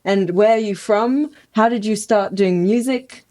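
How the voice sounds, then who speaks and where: A woman's voice: medium pitch, unhurried, mellow. A woman hosting a podcast in casual, bubbly chat, laughing often. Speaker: podcast host.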